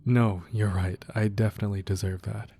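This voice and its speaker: A man playing a devoted, protective crime boss boyfriend in a romantic ASMR roleplay, speaking softly and earnestly with hesitant pauses. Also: on the low side, level tone, vocal fry, slightly rough. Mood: tender, reflective. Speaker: audio roleplay performer. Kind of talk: romantic ASMR roleplay, intimate scripted monologue.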